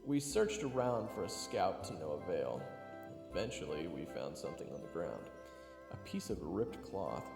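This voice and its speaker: A man plays a playful young adventurer with a hugely animated, slightly gravelly voice. He narrates his tale in a theatrical, joking way, in an echoey, reverberant space with music underneath. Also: sits low, moderate pace, heavily acted. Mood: storytelling. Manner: theatrical, joking, conversational storytelling. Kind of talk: first-person audio drama narration and dialogue.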